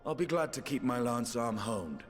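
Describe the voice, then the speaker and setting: A man's voice: medium pitch, lively intonation, normal speed, faint music behind, lightly acted. A man playing a loyal, courteous and coolly self-assured knight in a fantasy video game. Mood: wry, loyal. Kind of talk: video game cutscene acting.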